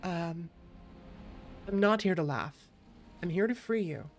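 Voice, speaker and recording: A woman's voice: on the low side, expressive, a little slow, a little hiss or hum. A woman livestreaming a game playthrough as a VTuber, talking casually in a chatty, affectionate, playful manner. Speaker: VTuber streamer.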